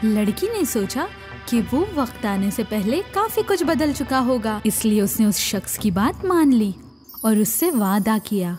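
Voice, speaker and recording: Casting: female storyteller, fairly high pitch, scripted children's fairy-tale narration over quiet music. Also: very animated.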